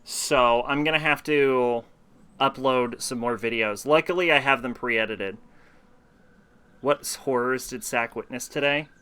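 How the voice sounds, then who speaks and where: A man's voice: medium pitch, a little slow, bright, forceful tone, clear, resonant tone. A man streaming live, chatting casually and ramblingly with his viewers. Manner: chatty, rambling, talks to his chat and answers questions.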